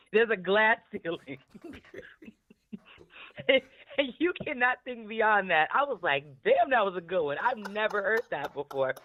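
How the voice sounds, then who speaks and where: Woman w a smoky voice, mid pitch, tinny phone audio, casual candid chat, swears a lot. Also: lively intonation, unhurried, pauses often, fairly bright tone. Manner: candid, profane, laughs often. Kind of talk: casual chat, commentary on podcasts.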